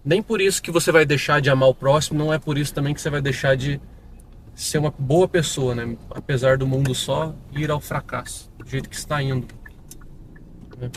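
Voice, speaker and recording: A man's voice: mid-range voice, steady tone, brisk. A young man with a São Paulo interior accent, telling his conversion testimony in a rambling, casual way, recorded outdoors on a city street. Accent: São Paulo interior Brazilian Portuguese. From interior of São Paulo state, Brazil. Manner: rambling, many fillers and restarts. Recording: outdoor street with passing traffic.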